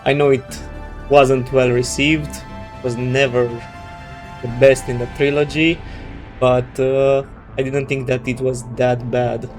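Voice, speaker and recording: Gaming channel host, male, Romanian native with Romanian accent: casual, hesitant, level delivery over soft game music. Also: medium pitch, little pitch movement, slow pace. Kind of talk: casual let's play commentary.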